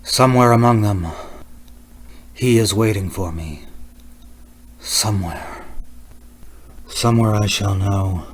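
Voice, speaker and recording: An actor playing a frightened, haunted man traveling alone in a suspense radio play, speaking intensely and haltingly. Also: fairly low pitch, breathy edge. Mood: haunted dread. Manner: intense, halting, repeating words. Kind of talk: suspense radio play acting, dramatic narration.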